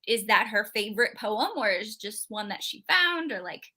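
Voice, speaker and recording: A woman's voice: medium pitch, very animated, normal speed, sharp tone. A woman co-hosting a live book discussion, talking casually and rambling with many fillers and restarts. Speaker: book club co-host.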